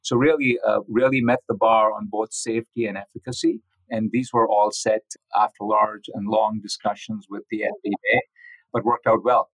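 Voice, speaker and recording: A man giving measured, methodical explanations as an interviewed medical researcher. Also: fairly low pitch, steady tone, fairly bright tone, dry recording, rough recording.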